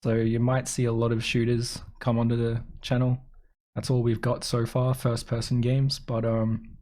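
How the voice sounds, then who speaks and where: A man talks in a soft voice at an ordinary male pitch. He hosts a gaming podcast and keeps the delivery casual and conversational. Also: completely flat delivery, moderate pace. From Australia. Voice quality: soft-spoken. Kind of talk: casual chat.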